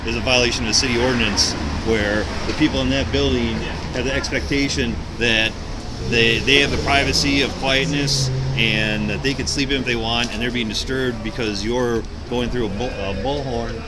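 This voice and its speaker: A man's voice: medium pitch, steady tone, moderate pace. Policeman over heavy street noise. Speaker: police officer. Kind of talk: explaining rules to a protester. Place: outdoors on a city sidewalk. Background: cars and urban hum.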